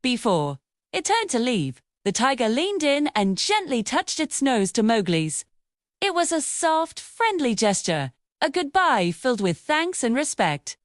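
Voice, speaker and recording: A woman narrating a scripted children's bedtime story in an expressive, dramatic storytelling manner. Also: high-pitched, unhurried, dry recording, studio quality.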